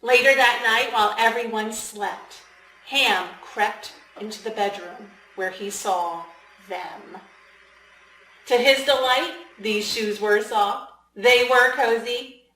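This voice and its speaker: A woman reading a children's storybook aloud as its author, in an expressive, deliberate way with emphasis for young listeners. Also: a little high, a little hiss or hum. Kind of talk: storybook read-aloud narration. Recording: echoey room.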